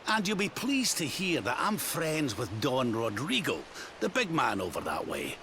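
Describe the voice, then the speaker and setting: A man's voice: mid-range voice, wildly swooping pitch, measured pace, slight background noise, exaggerated voice. An actor playing a genial, crafty old Scottish king travelling as a chatty companion in a video game. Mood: brisk, cheerful. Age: older. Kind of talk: video game cutscene acting. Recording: loud game music behind.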